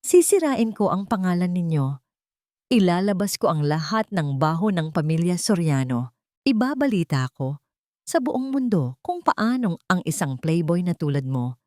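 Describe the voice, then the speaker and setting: A woman's voice: lowish voice, very animated, moderate pace, warm tone, no room sound, studio quality. A woman voicing a cold, proud, rich old grandmother who speaks in an imperious, threatening way in an audio story. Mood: menacing. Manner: menacing, imperious.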